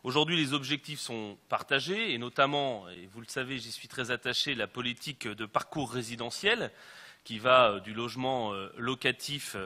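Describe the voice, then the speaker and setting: A man giving a formal council speech as an elected official, in a halting, hesitant way. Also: mid-range voice, bright, forceful tone. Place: large council chamber. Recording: echoey council hall with long reverb.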